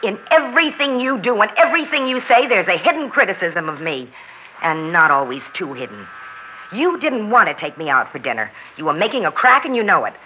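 An actress in a 1960s radio drama playing a bitter, accusing wife with a sharp, sarcastic way of talking. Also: female voice, medium pitch, big pitch swings, brisk, a little hiss or hum, lightly acted. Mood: reproachful. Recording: dull 1960s radio recording.

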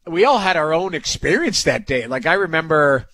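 A man's voice: fairly high pitch, very animated, moderate pace, a bit bright, no room sound. A middle-aged man co-hosting a sports talk radio show, congested and stuffy, with an easygoing, agreeable manner. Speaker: radio co-host.

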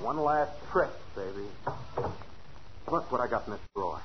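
Cruel, commanding husband played by a man, forties radio suspense, animated intonation, dull vintage sound. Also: medium pitch, normal speed, natural voice. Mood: menacing. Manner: taunting, commanding. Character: menacing, taunting husband with a cruel streak. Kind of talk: 1940s radio thriller acting. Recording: noisy, dull old radio broadcast.

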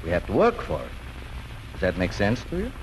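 A farmer father explains things in a deep, gentle, folksy voice that rises and falls widely. He is halting and patient, in a 1940s radio drama on a noisy old recording. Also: wildly swooping pitch. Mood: patient, earnest.

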